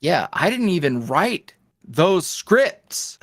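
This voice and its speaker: A man's voice: on the high side, big pitch swings, takes it slowly, a bit bright, no room sound. Male guest, chatty and meandering, dry close sound. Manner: rambling, filler-heavy, self-deprecating. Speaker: podcast guest, ASMR roleplay creator. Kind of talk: casual interview chat.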